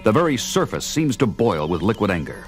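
A man's voice: on the low side, sing-song, a little fast. A man delivering dramatic scripted narration for a 1970s audio drama. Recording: music behind the narration.

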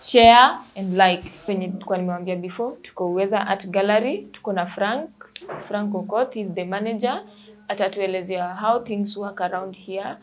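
A woman's voice: medium pitch, normal speed, rough recording. Young Kenyan woman presenting a show. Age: 20s. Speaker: show host. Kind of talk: presenting to camera, interviewing. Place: indoor art gallery room. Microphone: lapel.

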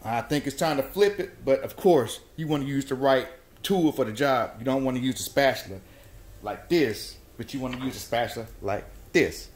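A man's voice: medium pitch, normal speed, a little hiss or hum. Folksy man, echoey kitchen. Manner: folksy, explains step by step with repeated phrases. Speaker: home cooking show host. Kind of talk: casual cooking demonstration. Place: home kitchen at the stove. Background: oil frying and sizzling in a skillet.

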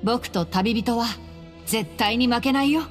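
A woman plays a gentle, clever fox-eared boy ranger from an anime game. The voice is boyish, calm and earnest, ringing in a hall with some music behind it. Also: fairly high pitch, measured pace, close to an everyday voice. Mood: calm encouragement. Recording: reverberant hall with background music.

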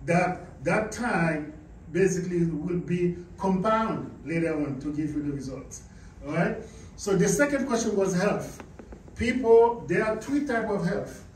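A man's voice: high-pitched, expressive, measured pace, slight background noise. A man from Haiti speaking to a room as a seminar speaker, giving a motivational business talk and putting questions to the audience. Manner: emphatic, asks the audience questions. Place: carpeted indoor meeting room. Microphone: far camera, no visible mic. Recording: echoey room, camera far off.

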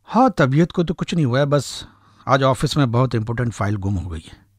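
Man playing a husky, very expressive cartoon husband. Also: medium pitch, a little fast, no room sound, clean studio sound. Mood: worried. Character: anxious, earnest young husband and office worker. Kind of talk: animated moral story voice acting.

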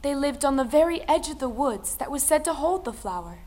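A woman's voice: high voice, lively intonation, brisk, a little mellow. An actress playing a female storyteller, giving dramatic narration of an old folktale. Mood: storytelling. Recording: music behind the voice. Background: music.

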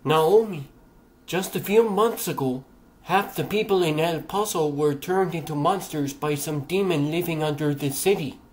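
A man's voice: on the high side, moderate pace, lightly acted. A man voicing a reckless but unruffled teenage superhero boy in an amateur comic-book dub. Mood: calm. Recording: loud music under the voice. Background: loud music.

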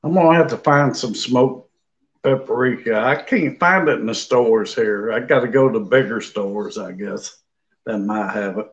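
A man's voice: medium pitch, a little slow. An older man, chatting easygoing on a home cooking livestream, recorded on a webcam at a kitchen table. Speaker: livestream co-host. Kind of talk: casual livestream chat. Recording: dull, lo-fi webcam audio.

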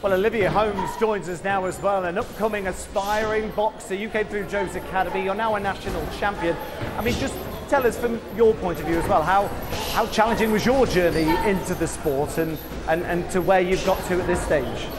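A man's voice: high-pitched, fairly quick. A man in his thirties interviewing guests conversationally as a reporter in an indoor gym. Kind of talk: on-location interview. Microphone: handheld mic.

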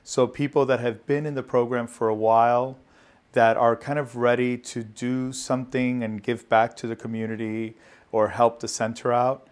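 A man's voice: medium pitch, flat delivery, moderate pace. A middle-aged man who works with immigrants, steadily explaining his work in an office interview on a lapel mic. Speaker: immigrant services staff member.

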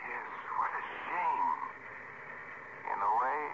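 Alien spaceman, male: measured, wistful, lively intonation, old radio drama with muffled sound. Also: mid-range voice. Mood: wistful, regretful. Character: wistful, gentle alien spaceman heading home. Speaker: actor. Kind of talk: mid-century radio drama acting. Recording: very noisy, muffled vintage radio.

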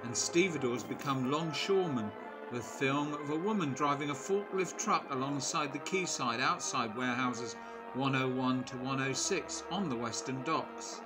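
A man narrating a local history documentary in a measured, informative voice-over. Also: medium pitch. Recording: voice-over with loud music bed.

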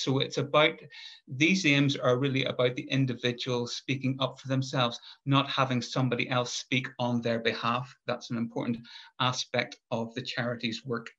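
Middle-aged Northern Irish lecturer on a video call; even, flat, hesitant delivery, dull sound. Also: male voice, medium pitch. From Northern Ireland. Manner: measured, thoughtful, with frequent hesitations and restarts. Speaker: conference speaker, lecturer. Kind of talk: academic presentation. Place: home room, indoors. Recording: muffled video call audio.

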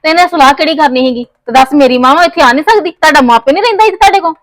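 A woman's voice: sits high, fast talker, a bit bright. A woman playing a stubborn young wife in a family skit, scolding and insistent. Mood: insistent, heated. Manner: scolding, insistent, voice rising in argument. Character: young married woman, stubborn, quick to argue. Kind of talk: scripted family comedy skit.

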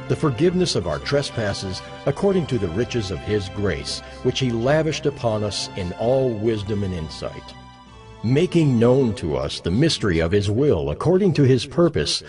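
A man reading scripture as a dramatized narration, solemn and measured, with emphatic phrasing. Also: on the low side, a little mellow. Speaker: Bible narrator. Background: music under the voice.

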